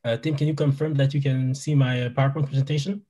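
A man's voice: medium pitch, steady tone, fast talker, dark tone. French-Canadian man presenting over a video call. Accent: Québécois French. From Quebec, Canada. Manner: friendly, many uh fillers and restarts. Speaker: wildlife biologist guest. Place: small room indoors. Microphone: headset on a video call.

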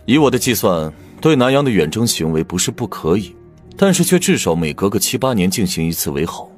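Audiobook drama prince: lowish register, swooping intonation, grave, candid, weighed down by worry. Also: male voice, big pitch swings, brisk, faint music behind. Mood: grave, scornful. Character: imperial prince, grave, troubled, candidly lecturing on state finances.

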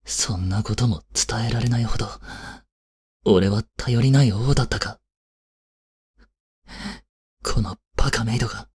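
An actor playing a cold, haughty young prince in an audio drama, with a husky, breathy voice and a curt, imperious manner. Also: male voice, on the low side, a little slow, long pauses, darkish tone. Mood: shaken, angry. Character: cold, haughty young prince scolding and fretting over his maid. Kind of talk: Japanese audio drama acting.

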